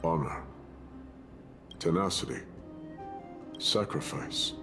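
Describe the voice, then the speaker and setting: A stoic, world-weary warrior with a low voice and unhurried, formal delivery. He sounds solemn and resolute, like a dramatic game character, over clear background music. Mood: solemn, measured. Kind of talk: dramatic video game voice acting.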